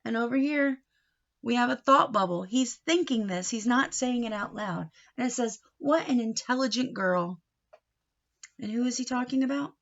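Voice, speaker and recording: A woman with a fairly high voice reads a picture book to young children in an expressive teaching style. She pauses to explain and to ask her listeners questions. The sound is a little dull and lo-fi. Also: vocal fry. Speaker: read-aloud teacher.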